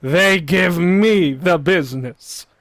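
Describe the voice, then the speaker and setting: An actor playing a soft-spoken, gloomy undertaker who delivers his puns in a slow, mournful deadpan, in the style of vintage radio comedy. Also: high-pitched, no room sound.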